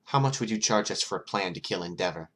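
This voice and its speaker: Casting: awkward, determined teen boy voiced by a man, earnest, slightly halting, storybook dialogue. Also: fairly low pitch, animated, fairly bright tone. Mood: resolved.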